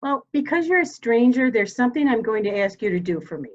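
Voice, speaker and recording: A woman's voice: fairly high pitch, very animated. Woman playing a dignified elderly matriarch, slightly muffled. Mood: grave, resolute. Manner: formal, deliberate, commanding. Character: elderly dying matriarch, dignified, steely, grieving mother. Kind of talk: dramatic reading of a murder mystery.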